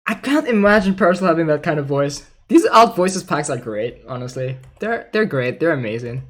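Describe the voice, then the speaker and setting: Streamer chatting casually on a gaming livestream, medium pitch voice, reacting off the cuff. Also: sing-song, moderate pace, a bit bright. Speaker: streamer, host.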